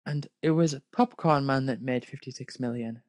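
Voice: medium pitch, moderate pace, darkish tone, no echo. A person reading fan fiction aloud casually, with hesitant, dry critical asides. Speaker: fanfic reader and commentator.

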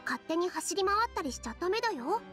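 とても高い声の優しい森の妖精. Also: female voice, very high-pitched, big pitch swings, quick pace, soft background music. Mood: gentle, earnest. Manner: soft, gentle, earnest. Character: gentle young female forest fairy, kind and earnest. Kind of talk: anime video game voice acting.

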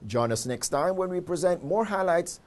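A man in his forties with a Jamaican accent, presenting a scripted news report in a formal, measured newsreader delivery on a lapel mic in a studio. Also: sits high, animated, darkish tone. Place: indoor studio.

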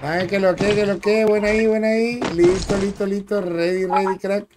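A man with a Dominican accent chats through a live gaming stream, his voice sitting notably high. He stays upbeat, greets people by name and repeats phrases in quick bursts, and loud background noise runs under everything he says. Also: level tone. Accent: Dominican Spanish. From Dominican Republic. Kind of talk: casual live-stream gameplay chat.

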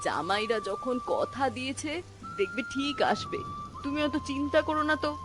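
Cartoon village wife and mother female actor: high-pitched, animated, homely, mildly scolding, over a music bed. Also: moderate pace. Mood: homely, mildly chiding. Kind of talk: cartoon story dialogue.

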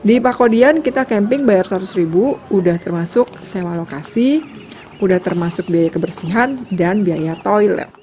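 A woman's voice: medium pitch, lively intonation, moderate pace. A woman with an Indonesian accent narrating a road trip vlog in a chatty, casual voice-over. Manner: chatty, lists costs, trails off with fillers. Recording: voice-over with loud background music.

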